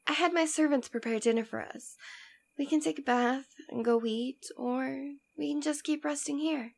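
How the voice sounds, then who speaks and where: Woman acting a creaky-voiced, doting demon queen. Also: high-pitched, moderate pace. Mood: playful, teasing. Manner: intimate, tender, teasing, often dropping to a whisper. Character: devoted female demon queen, teasing, protective lover. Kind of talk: ASMR roleplay audio drama.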